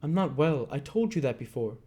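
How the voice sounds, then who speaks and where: A man's voice: very animated, a little fast, warm tone. A man putting on a desperate pleading young woman. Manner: high, strained and pleading. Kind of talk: dramatic read-aloud.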